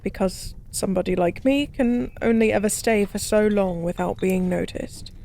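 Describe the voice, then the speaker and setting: A woman voicing a lonely, drunk fallen goddess in a soft-spoken audio roleplay, musing and rambling with weary melancholy. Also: medium pitch, moderate pace, vocal fry, lightly acted. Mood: melancholic, resigned.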